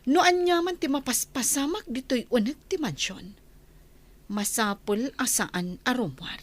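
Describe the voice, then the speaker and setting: A woman's voice: fairly high pitch, animated, a little slow. A woman playing an indignant, commanding mistress of a mansion in a melodramatic audio drama.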